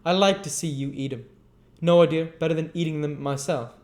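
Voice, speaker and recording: A man's voice: a little high, moderate pace, a little mellow, pitched above the performer's own voice, close to an everyday voice. A man voicing a fawning young female servant who coaxes and dotes. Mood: doting. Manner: coaxing, doting.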